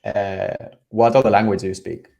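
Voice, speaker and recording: A man's voice: lowish voice, steady tone, normal speed, creaky, dark tone. Casual man host, filler-heavy questions. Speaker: podcast host. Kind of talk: casual interview chat.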